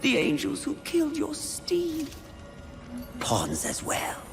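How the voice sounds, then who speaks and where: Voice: sits high, wildly swooping pitch, slow pace, exaggerated voice. A sly, mocking sin. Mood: taunting. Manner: taunting, silky, sneering. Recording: cinematic game audio with music.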